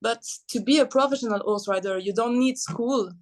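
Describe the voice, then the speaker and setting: A young woman from Belgium, a guest athlete telling her story in a casual, animated interview, laughing often. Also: on the high side, fairly quick. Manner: animated, laughs often, non-native phrasing.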